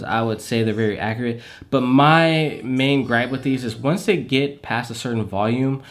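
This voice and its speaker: A young man giving a casual product review to camera in a small room at home, relaxed and chatty, repeating words for emphasis. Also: medium pitch. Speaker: tech reviewer.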